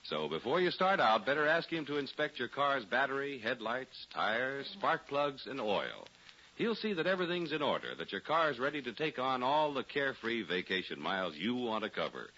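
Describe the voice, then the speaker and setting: A man's voice: mid-range voice, sing-song, moderate pace, pushed and bright. A male radio announcer reading scripted narration and advertising in a polished, emphatic 1940s style. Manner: polished, emphatic salesman delivery. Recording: dull 1940s radio recording with music.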